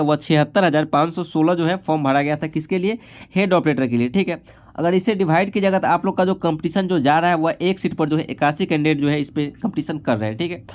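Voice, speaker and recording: A man's voice: a little high, a little mellow. A man explaining figures informally as an instructor, repeatedly adding a short confirming tag to his phrases. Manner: repetitive confirming tag after nearly every phrase. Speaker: exam-prep instructor. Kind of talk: informal explainer of exam cut-offs and statistics.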